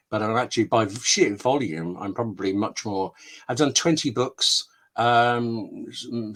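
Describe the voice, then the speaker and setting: A man's voice: lowish voice, fairly bright tone. A man speaking casually as an interview guest, enthusiastic and often interrupting himself mid-thought. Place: online video call.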